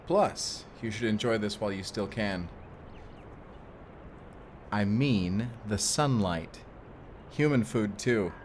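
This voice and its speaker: A man's voice: fairly low pitch, slight background noise, lightly acted. A man playing a guarded, protective vampire slayer in an audio roleplay, speaking frankly and sternly. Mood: matter-of-fact. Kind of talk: cinematic audio roleplay addressed to the listener.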